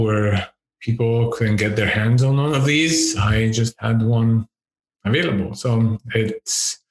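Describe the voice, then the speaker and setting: A man with a deep voice who is a podcast guest, chatting casually, thinking aloud with fillers and laughing often, on a headset mic. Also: roomy sound, low-quality recording. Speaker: podcast guest, education researcher. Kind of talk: casual interview chat. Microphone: over-ear headset with boom mic.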